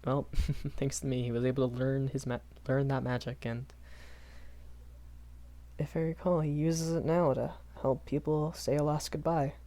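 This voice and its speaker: A man's voice: mid-range voice, level tone, moderate pace, dark tone, close to an everyday voice. A young man playing a shy, kindly magic academy professor in a rambling audio roleplay, stammering and hesitant. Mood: reminiscing, hesitant. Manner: hesitant, stammering, nervous chuckles, apologetic.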